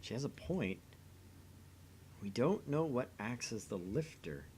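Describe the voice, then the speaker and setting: A man's voice: medium pitch, big pitch swings, unhurried, a little mellow, a little hiss or hum. A man doing an acted reading of dialogue and narration from a visual novel game. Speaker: voice reader in a visual novel playthrough.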